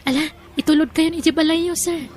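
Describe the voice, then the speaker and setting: A woman's voice: high voice, fairly even intonation, a little fast, darkish tone, a little hiss or hum, close to an everyday voice. A woman playing an emotive, jilted young woman in an Ilocano audio drama. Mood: friendly, warm. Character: tearful jilted young woman, kind to a child.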